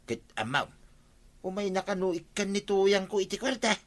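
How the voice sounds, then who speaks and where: A man's voice: sits high, animated, normal speed. An actor playing a pushy, boastful village man with an Ilocano accent in a radio comedy drama, speaking insistently.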